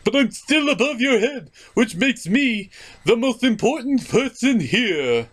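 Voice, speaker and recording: A man's voice: very high-pitched, sing-song, a little slow, a bit bright, breathy edge, exaggerated voice. A man voicing a smug, self-important puppet sidekick in comedy banter. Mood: smug, indignant.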